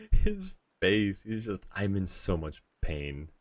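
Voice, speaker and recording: A man with a low voice streams a game and chats casually with his viewers. He sounds a little tired and is polite and appreciative. Faint music plays behind him. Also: huge pitch swings, takes it slowly. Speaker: live streamer. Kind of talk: casual chat with viewers while gaming.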